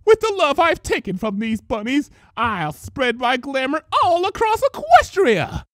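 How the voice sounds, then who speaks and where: A man's voice: very high-pitched, big pitch swings, measured pace, fairly bright tone, no echo, clean studio sound, pitched above the performer's own voice, full character voice. A man voicing a vain, gloating female pony changeling villain in a theatrical, grandiose way for a story reading.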